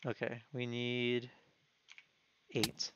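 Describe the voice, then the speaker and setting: A young man speedrunning a game, chatting quickly and giving practical directions to his teammate. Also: mid-range voice, monotone. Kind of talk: casual gaming chat with teammate. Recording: slightly dull mic with faint noise.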